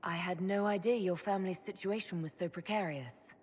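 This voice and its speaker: A woman playing a composed, decisive heroine leader in a video game, speaking plainly, calmly and supportively. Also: lowish voice, fairly quick, husky. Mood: concerned, steady. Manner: plain, direct, calm. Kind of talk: dramatic video game cutscene acting.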